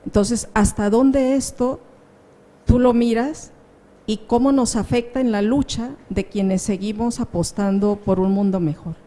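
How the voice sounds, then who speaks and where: A woman from the audience asking a long question, slow and deliberate. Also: fairly high pitch, fairly even intonation, mellow. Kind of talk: question from the floor.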